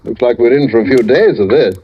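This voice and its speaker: An actor playing an urbane, formal gentleman murderer in a theatrical old radio drama style. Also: medium pitch, quick pace, close to an everyday voice. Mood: suave, mocking. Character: suave, mocking gentleman murderer cracking into panic. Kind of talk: 1940s radio acting. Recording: noisy, dull old radio recording with clicks.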